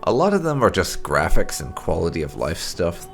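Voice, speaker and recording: A man hosting a gaming let's play, talking casually in deliberate, halting phrases. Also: low voice, big pitch swings, a bit bright, faint music behind. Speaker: host of a gaming channel.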